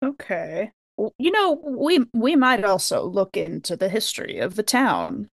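A woman's voice: mid-range voice, very animated, measured pace, gravelly, dry recording, studio quality. A woman chatting casually as a player in a tabletop story game. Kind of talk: casual group chat.

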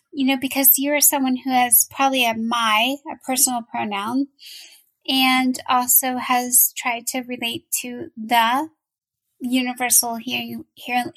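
A woman hosting an interview podcast, asking questions in a gentle, thoughtful way. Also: a little high, poor audio. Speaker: podcast host.

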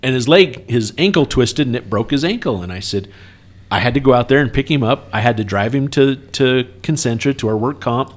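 A man's voice: medium pitch, lively intonation, fairly quick. Man talking casually, earnest and explanatory. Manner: earnest, explanatory, often seeking agreement. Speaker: trucking company podcast co-host. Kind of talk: casual workplace talk with anecdotes.

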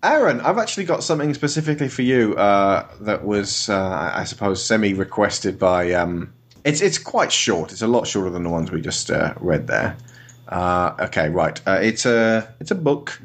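A man's voice: lowish voice, very animated, soft background music. Man hosting a comedy podcast, chatty. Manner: hesitant, full of fillers and quick jokes. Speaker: podcast host. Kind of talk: casual comedy chat.

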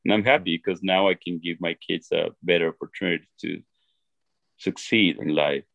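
Gravelly man with an Ecuadorian Spanish accent. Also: low voice, steady tone, a bit bright, dry recording, lo-fi sound. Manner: earnest, halting, with frequent restarts. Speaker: interview guest. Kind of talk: reflective personal storytelling.

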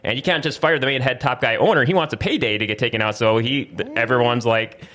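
Male podcast host, medium pitch, informal news-chat delivery with rambling explanations. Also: fast, sharp tone. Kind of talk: casual news discussion.

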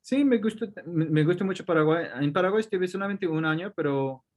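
Hombre con acento coreano, hablar vacilante. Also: male voice, sits high, lively intonation, a little fast. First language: Korean. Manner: hesitant, restarts words, mixes in English. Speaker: guest surgeon. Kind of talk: casual interview.

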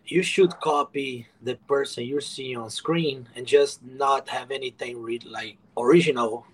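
A man's voice: mid-range voice, steady tone, a little slow, low-quality recording. A man speaks in a mid-range voice as a podcast guest. He talks in an earnest, rambling way and leans on lots of fillers as he tells his story. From Brazil. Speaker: guest author. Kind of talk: casual podcast interview.

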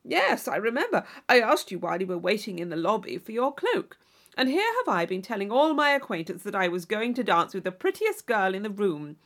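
Audiobook role: woman as boastful young Regency gentleman, blustering and brash, full of loud exclamation. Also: mid-range voice, very animated, brisk. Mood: blustering, aggrieved. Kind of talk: audiobook character voice.

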